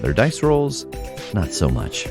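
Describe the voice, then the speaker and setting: A man with a deep voice narrates a scripted podcast trailer over a prominent music bed. His delivery is dramatic and suspenseful, with a very animated rise and fall in pitch. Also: takes it slowly.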